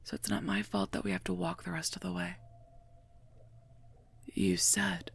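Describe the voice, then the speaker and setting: A woman voicing a sardonic female werewolf knight and mercenary bodyguard in an ASMR roleplay, husky and gravelly, dry and curt. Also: low voice, flat delivery, a little slow, heavily acted. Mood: irritated, sarcastic. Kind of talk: scripted ASMR roleplay, addressed to listener.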